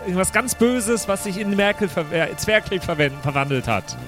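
A man's voice: high voice, lively intonation, moderate pace. A man bantering casually as a tabletop roleplay player, often groping for words. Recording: music playing behind the voice. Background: faint music.

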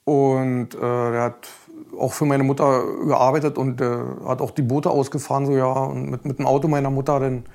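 A man's voice: medium pitch, flat delivery, moderate pace, a little mellow. A man recollecting events as a relative in a documentary interview. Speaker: interviewee, son of the victim.

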